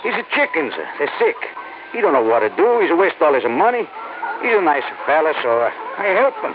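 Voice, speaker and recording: Jittery chicken farmer, broken english, voice jumps all over, tinny noisy old radio. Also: male voice, on the high side, sing-song, a little fast. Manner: broken English, evasive and jumpy. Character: nervous middle-aged male immigrant chicken farmer. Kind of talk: 1940s radio acting.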